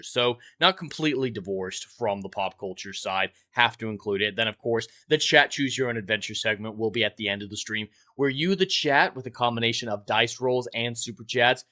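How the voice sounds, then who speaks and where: A man's voice: on the low side, pushed and bright. A man in his twenties hosting a livestream podcast, chatting to viewers in an earnest, welcoming, announcer-like way.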